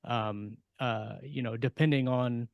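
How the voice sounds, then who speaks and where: A man co-hosting a podcast in a casual, conversational way. His voice sits at an ordinary middle pitch, and the sound is close and dry, with almost no room on it. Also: steady tone, takes it slowly, creaky, no room sound, muffled recording. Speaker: podcast co-host. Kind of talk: casual panel discussion.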